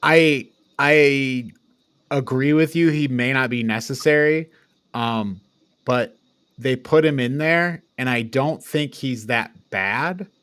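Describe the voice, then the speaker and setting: A man's voice: mid-range voice, slow, fairly bright tone, dry recording. A man hosting a casual movie review chat, talking in an agreeable, informal way with some casual swearing. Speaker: podcast host.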